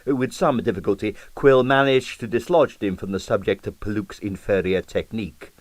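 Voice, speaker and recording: A man's voice: on the low side, moderate pace, no echo. A man reading a comic novel as an audiobook narrator, with wry, theatrical delivery. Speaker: audiobook reader.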